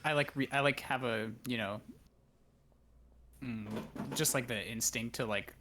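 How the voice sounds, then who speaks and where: A man's voice: mid-range voice, a little slow, pushed and bright. A man chatting casually as a student in a coaching session, hesitant and thinking aloud as he goes. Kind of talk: casual chat. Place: remote online voice call.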